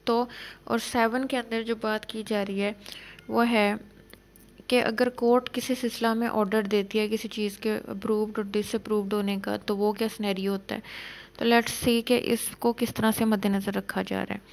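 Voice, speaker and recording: A woman lecturer explaining law for exam students, reading out legal definitions slowly and then explaining them in plain terms. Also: medium pitch, flat delivery.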